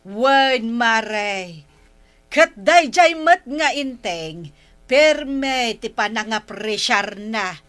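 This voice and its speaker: A woman's voice: fairly high pitch, lively intonation, very slow, fairly bright tone, heavily acted. A woman with an Ilocano accent playing a jealous, nagging older wife who scolds indignantly in comic drama. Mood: gossipy, aggrieved. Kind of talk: broad comic drama acting.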